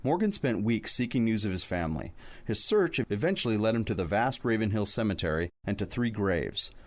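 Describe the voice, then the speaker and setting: Male podcast narrator, lowish voice, articulate scripted storytelling with a wry streak. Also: very animated, fairly quick. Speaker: podcast host.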